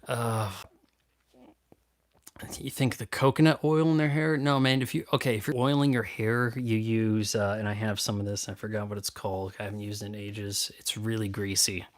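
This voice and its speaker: A man's voice: lowish voice, steady tone, normal speed. Rambling, crude-talking man on a live stream. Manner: rambling, crude and sarcastic, with frequent restarts. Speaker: streamer answering chat. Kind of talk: casual live-stream chat.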